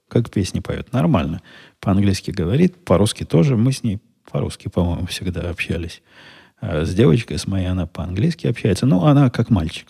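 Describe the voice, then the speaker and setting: A middle-aged man hosting a solo podcast, answering listener questions in a dry, wry, unhurried way. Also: low-pitched, creaky, warm tone, husky. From Russian-speaking country. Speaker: podcast host.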